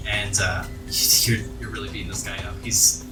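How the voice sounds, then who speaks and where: A man's voice: on the low side, level tone, moderate pace. A man acting as game master, narrating a tabletop game to players in a conversational, descriptive storytelling way. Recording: echoey room, far from mic, music behind. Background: music.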